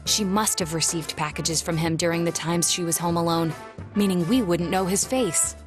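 A woman playing a calm, analytical young detective in a video game, speaking in a formal, measured and precise way. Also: fairly low pitch, natural voice. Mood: focused. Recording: music under the dialogue.

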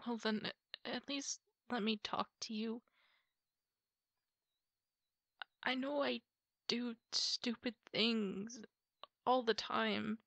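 An apologetic girlfriend, acted by a woman, speaks in a fairly high, soft voice. She takes her time, with long halting pauses, in an intimate ASMR roleplay. The sound is dry, with no room around it. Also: steady tone, vocal fry, dry recording, full character voice. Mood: remorseful, hesitant. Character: apologetic, tearful girlfriend pleading to make up. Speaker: roleplay audio performer.

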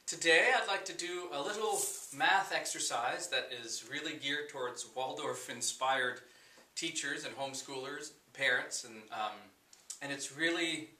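A man in his forties teaching a chalkboard math lesson to camera, slow and unhurried, full of wonder, with fillers. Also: mid-range voice, sharp tone. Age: 40s. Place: room with a chalkboard, indoors. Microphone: camera at a distance. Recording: echoey room, voice from a distance.

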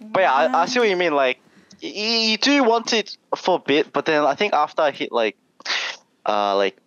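Young Aussie man, guest on a call, slightly high voice, dry dull headset sound. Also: big pitch swings, normal speed, a bit bright, dry recording. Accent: Australian. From Australia. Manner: chatty, laughs often, many "like"s. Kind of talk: casual video-call interview. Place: small bedroom indoors. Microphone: headset mic on video call.

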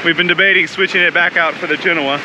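Relaxed chatty man on a sailboat. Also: fairly high pitch, quick pace, heavy background noise. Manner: relaxed, chatty, laughs easily. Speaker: sailing vlog host. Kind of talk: casual chat to camera. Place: outdoors on a sailboat at sea, windy. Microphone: action camera held close at arm's length.

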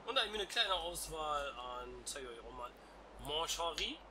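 A man's voice: on the high side, moderate pace, crowd noise. Young man with a cold, chatty. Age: young adult. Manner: chatty and relaxed. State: congested with a cold. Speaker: let's play host. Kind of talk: casual game commentary. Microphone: headset. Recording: noisy home headset recording.

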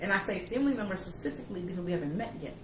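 A woman's voice: medium pitch, expressive, very fast. Co-host: New York woman, thirties, blunt and joking, a little away from the mic in an echoey, noisy kitchen. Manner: laid-back, blunt, joking. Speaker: page admin and co-host. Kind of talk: casual chat on a livestream. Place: indoor kitchen. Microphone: camera or laptop mic. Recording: echoey kitchen from a distance, with noise.

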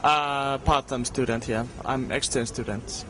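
A young exchange student from Finland, answering in a relaxed, halting way into a handheld mic. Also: male voice, medium pitch, background noise. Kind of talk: casual interview answers. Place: lounge at a game jam. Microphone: handheld mic held by interviewer.

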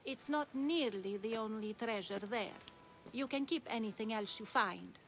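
An actress playing an enigmatic, lofty older wise woman in a video game, speaking in a measured, cryptic, superior way. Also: fairly high pitch, a little hiss or hum. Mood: cryptic, testing. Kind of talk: video game cutscene dialogue.

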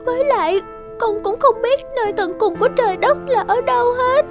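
A woman voicing a gentle, kind young orphan girl in a cartoon fairy tale, plaintive, earnest and polite. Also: very high-pitched, a little fast, over music. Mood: distressed.

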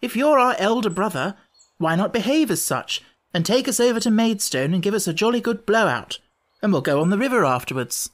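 A woman's voice: mid-range voice, expressive, fairly quick, pitched above the performer's own voice, natural voice. Woman reader as a blunt, slangy schoolboy. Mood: persuasive. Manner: blunt, slangy, old-fashioned. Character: blunt, practical schoolboy brothers in a storybook. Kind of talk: children's storybook character reading.

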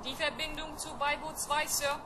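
A woman's voice: high-pitched, little pitch movement, normal speed, background noise, close to an everyday voice. A woman playing a cocky, argumentative spaceship robot in an old German-style children's radio play, precise and pedantic. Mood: pedantic, cool. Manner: precise, matter-of-fact, pedantic.

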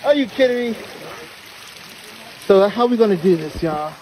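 A man's voice: high voice, expressive, darkish tone. A man chatting casually to viewers as he films a hike, with a playful, exclamatory manner and folksy turns of phrase. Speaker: vlogger filming a hike. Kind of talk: casual trail vlog chat addressed to viewers. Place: outdoors on a canyon trail by a stream. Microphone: handheld phone camera. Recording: noisy outdoor phone audio, rushing stream.

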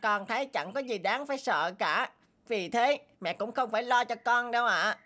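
Woman voicing a cocky, high-pitched young donkey. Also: lively intonation, normal speed, lightly acted. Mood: whiny, defiant. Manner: boastful, whiny, talks to himself. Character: cocky, naive young boy donkey, boastful and stubborn. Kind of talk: animated fairy-tale voice acting.

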